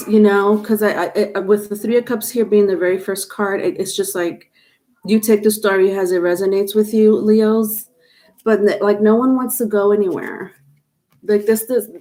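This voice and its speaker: A woman's voice: medium pitch, warm tone. Stuffy-nosed woman giving a tarot reading. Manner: rambling, repeats and restarts, many fillers. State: stuffed-up nose. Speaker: tarot reader. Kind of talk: live tarot reading to viewers. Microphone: webcam or phone on camera.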